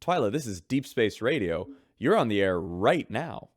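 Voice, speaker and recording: Gossipy spaceship radio host, low and animated, dry and close. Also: male voice, moderate pace, dry recording. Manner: chatty, gossipy announcer delivery. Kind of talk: radio drama acting as a broadcaster.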